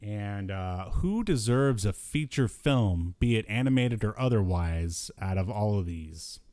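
A man hosting a podcast in casual conversation, talking in a halting, jokey way. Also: on the low side, lively intonation, warm tone, no room sound. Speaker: podcast host. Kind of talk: casual chat about cartoons and movies.

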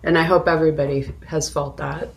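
A woman's voice: low voice, steady tone, a little fast, gravelly. A woman co-hosting a podcast, talking casually and reflectively about a film in an informal way. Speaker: podcast co-host. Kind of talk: casual conversational film discussion.

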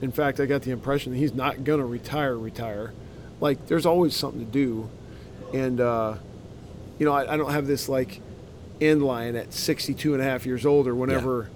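A man's voice: mid-range voice, steady tone, moderate pace, darkish tone, slight background noise. A man in his forties hosting a podcast interview, asking reflective questions in an affirming way. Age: 40s. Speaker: podcast host. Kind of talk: casual interview. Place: outdoor conference grounds.

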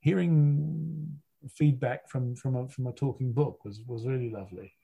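Husky-voiced man in his sixties rambling. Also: medium pitch, normal speed, rough recording. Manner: rambling, restarts often, animated storytelling. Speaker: audiobook narrator and voice actor. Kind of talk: anecdotal interview chat.

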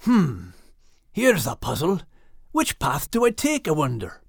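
A man's voice: on the high side, huge pitch swings, measured pace, creaky, breathy edge, no room sound, studio quality, heavily acted. A man voicing a plucky, wary little male dog with a Scottish accent for a storybook, blunt and questioning. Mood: puzzled.

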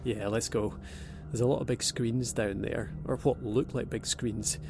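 A man playing a wry, gravelly young abductee. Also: mid-range voice, steady tone, a little slow, background noise. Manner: dry, sardonic humour. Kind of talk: audio drama acting. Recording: music score underneath.

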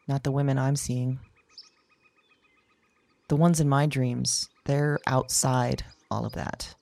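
A woman playing a weary, wry modern woman in an intimate audio drama monologue, speaking in a reflective, halting, confiding way. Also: a bass voice, creaky, dark tone, dry recording, full character voice. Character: weary, wry, candid modern woman recounting her dreams.

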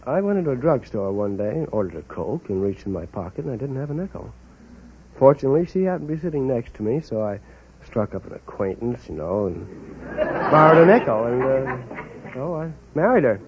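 A man's voice: mid-range voice, big pitch swings, fairly quick, breathy edge. A man from New York City answering a quiz host's questions in a polite, earnest, slightly formal way. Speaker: quiz show contestant, bail bondsman. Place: radio show stage. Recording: muffled old-time radio recording.

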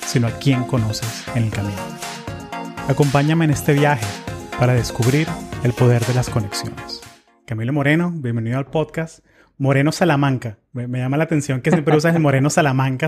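A man's voice: mid-range voice, a little mellow. A man from Venezuela hosting a tech interview podcast, chatting in a friendly way and laughing easily. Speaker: podcast host. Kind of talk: conversational interview.

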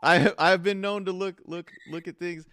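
A man's voice: sits high, fairly even intonation, moderate pace, fairly bright tone. A man hosting a casual livestream interview, speaking informally and often repeating his phrases. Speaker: interviewer, show host.

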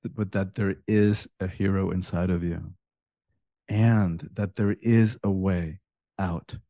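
A man's voice: low-pitched, steady tone, slow pace, mellow, no echo, clean studio sound. A man born in Cuba, an author talking as a podcast guest, earnest, often repeating words as he begins a thought. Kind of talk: casual interview talk.

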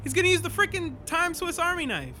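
An actor playing an anxious, excitable scientist in a sci-fi audio drama. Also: male voice, extremely high pitch, quick pace, slight background noise, full character voice. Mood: alarmed.

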